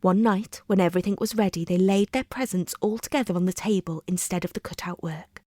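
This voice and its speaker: A woman narrating a bedtime story in a measured, expressive storybook style. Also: on the low side, no room sound, clean studio sound. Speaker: storyteller, reader.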